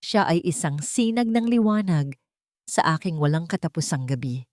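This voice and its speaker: A woman narrates a dramatic first-person story. Her voice sits on the low side and she delivers the script in measured, short phrases. The recording is close and dry, with very little room sound. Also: animated, darkish tone, no room sound.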